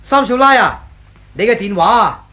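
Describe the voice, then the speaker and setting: Fussy, drawling Hong Kong butler. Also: male voice, sits high, expressive, moderate pace, sharp tone, a little hiss or hum. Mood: polite, dutiful. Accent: Hong Kong Cantonese. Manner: drawling, obsequious, full of hesitant interjections. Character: deferential, meddling male household butler, drawling and fussy. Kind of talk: 1980s Cantonese radio drama acting.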